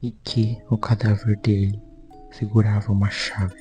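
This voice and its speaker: A man's voice: lowish voice, flat delivery, unhurried, over music. A man with a Brazilian accent informally narrating a story recap as a video host, in a conversational way with repetitive phrasing. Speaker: YouTube host.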